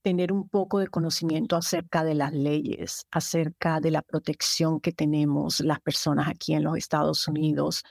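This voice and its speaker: A woman in her fifties from Colombia, answering interview questions in a measured, thoughtful way with frequent hesitations. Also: low-pitched, little pitch movement, vocal fry, darkish tone, no echo, studio quality. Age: 50s. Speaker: guest, immigration agency director.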